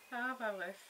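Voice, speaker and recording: A woman's voice: mid-range voice, pushed and bright. Woman, book haul vlogger, chatty, giggly, noisy home recording. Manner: chatty, giggly, many fillers and restarts. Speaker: book blogger. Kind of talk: casual book haul vlog.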